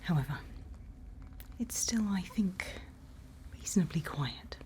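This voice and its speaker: A woman playing a calm, reassuring monster hunter on night watch in a soft, whispered ASMR roleplay, speaking with long pauses. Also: fairly low pitch. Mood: quietly wary. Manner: hushed, soft-spoken, slow with long pauses.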